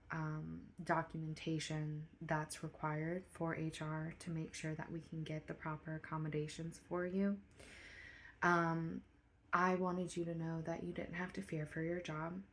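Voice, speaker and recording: A woman as a quiet, measured bank manager. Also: deep voice. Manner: quiet, measured, hesitant with fillers. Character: soft-spoken, supportive woman bank manager. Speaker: branch manager.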